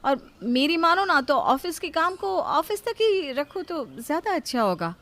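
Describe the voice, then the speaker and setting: A woman's voice: high-pitched, very animated, measured pace, a bit bright, pitched above the performer's own voice, full character voice. A woman voicing a caring, self-sacrificing elder sister-in-law in a story, pleading and conciliatory. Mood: careful advice.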